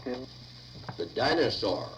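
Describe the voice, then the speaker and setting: A man dictating lecture notes aloud in a deliberate, formal way, recorded on 1950 reel-to-reel tape. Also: medium pitch, animated, a bit bright, a little hiss or hum, poor audio.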